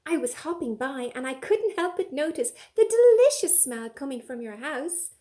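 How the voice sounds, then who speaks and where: A woman's voice: high-pitched, expressive, normal speed, dark tone, pitched above the performer's own voice. A woman voicing a polite, hopeful little rabbit from a storybook, eagerly wheedling.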